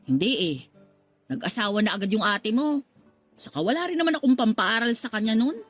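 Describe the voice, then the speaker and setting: An actress playing a talkative, motherly middle-aged working-class woman in a radio drama, expressive and given to exclaiming. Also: a little high, a little fast. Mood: matter-of-fact. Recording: music under the voice.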